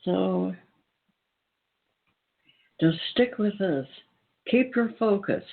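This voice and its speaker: A man with a fairly high voice talks very slowly over a tinny, phone-like connection. He speaks earnestly about spiritual matters, pausing and restating phrases for emphasis. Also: gravelly. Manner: deliberate, emphatic repetition with pauses. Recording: tinny, phone-like band-limited sound.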